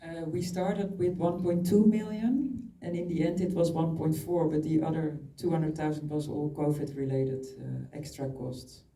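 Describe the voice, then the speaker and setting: Producer woman from the netherlands, very dark mellow tone, matter of fact, roomy echo. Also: sits low, little pitch movement, normal speed, hardly any pauses. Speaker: film producer. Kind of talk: answering at a film Q&A. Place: large cinema auditorium, on stage. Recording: echoey hall.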